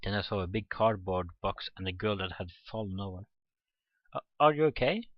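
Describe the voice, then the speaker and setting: Low-voiced man reading a visual novel aloud. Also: expressive, brisk, no echo, muffled recording. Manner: acts out dialogue and exclamations, stumbles over words. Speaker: let's play streamer. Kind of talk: reading visual novel script aloud in let's play commentary. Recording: game music behind the voice. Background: visual novel background music.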